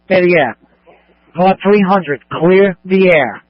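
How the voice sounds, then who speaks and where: Firm high pitched cop boss on a muffled radio, short repeated orders. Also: male voice, slow, very noisy. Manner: firm, repetitive, directive. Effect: police radio. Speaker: police incident commander. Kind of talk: radio commands in short phrases. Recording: muffled police scanner radio.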